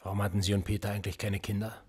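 A husky cold police interrogator. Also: male voice, lowish voice, very fast, soft background music. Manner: calm, needling, probing questions. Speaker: police investigator. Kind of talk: radio drama acting.

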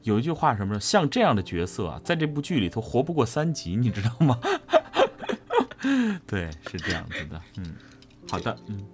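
A man chatting casually and playfully as a livestream co-host, animated and teasing, quick to laugh. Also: medium pitch. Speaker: voice actor co-hosting a fan livestream. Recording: background music.

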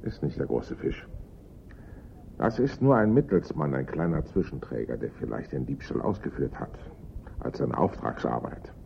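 An actor playing an ambitious, bossy French police inspector in a German radio drama, speaking in a grandiose, commanding way. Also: male voice, expressive, moderate pace, creaky, a little hiss or hum, heavily acted. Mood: grandiose, confident. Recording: dull, rumbling old radio recording.

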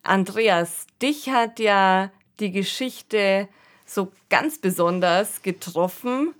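A woman's voice: medium pitch, takes it slowly. A woman hosting a podcast in a conversational, discussing style. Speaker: podcast host.

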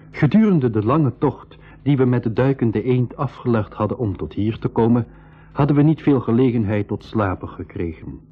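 A man narrating an adventure in the first person for a 1980s radio play, in measured literary style. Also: mid-range voice, breathy edge, phone-quality sound. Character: adult male narrator recounting his boyhood adventure. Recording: boxy, band-limited 1980s radio recording.

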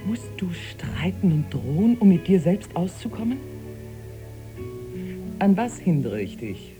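An actress playing a sober, resentful wife with a dry, reproachful manner in a German radio play. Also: female voice, on the low side, sing-song, measured pace, music in the background. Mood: cool, reproachful. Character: sober, cutting wife, resigned yet resentful.

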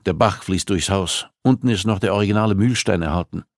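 A man voicing a gruff male police investigator with a curt, deadpan, growling manner. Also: sits low, fairly quick, no echo, studio quality. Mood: curt, sardonic.

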